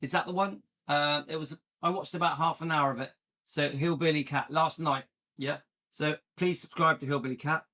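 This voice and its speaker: A man's voice: fairly even intonation, moderate pace, pushed and bright. A middle-aged man, hosting a casual live stream and chatting with viewers, rambling with a playful edge. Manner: chatty and rambling, jumps into a higher playful pitch when joking. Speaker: YouTube channel host.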